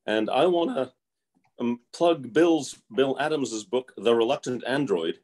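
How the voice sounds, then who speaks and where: A man's voice: mid-range voice, expressive, a little slow, a little mellow. An older man, a retired math professor, introducing himself eagerly on a video call with lots of hesitations. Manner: enthusiastic, hesitant, many fillers. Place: indoor room. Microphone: laptop on a video call.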